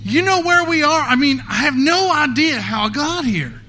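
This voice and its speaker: High-pitched, jittery, folksy delivery guy. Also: expressive, normal speed, bright, forceful tone, a little hiss or hum, full character voice. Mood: frightened, confused. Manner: stammering, folksy drawling slang. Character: jittery folksy delivery man, rattled and indignant. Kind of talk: live theatrical audio drama acting. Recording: live stage recording with music behind.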